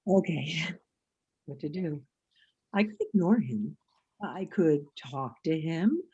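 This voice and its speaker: Lady acting as an overthinking gal, husky lowish voice that swings all over. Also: expressive, mellow, lo-fi sound, exaggerated voice. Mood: wry. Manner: wry, overthinking, quick asides. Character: witty anxious single woman at a gallery. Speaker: actor in a one-act play. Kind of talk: stage comedy inner monologue. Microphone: video call.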